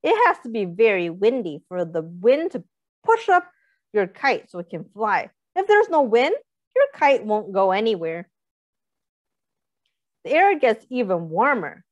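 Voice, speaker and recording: A woman's voice: medium pitch, big pitch swings, a little slow, no echo, muffled recording. A young woman reading a picture book aloud to small children, expressively, with simple questions and explanations for her listeners. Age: young adult. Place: small indoor room, seated.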